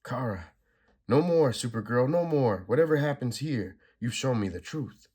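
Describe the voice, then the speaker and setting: A man's voice: mid-range voice, lively intonation, normal speed, dark tone, slightly rough, voice pushed up. A man reading a comic aloud, voicing an earnest, remorseful adult heroine.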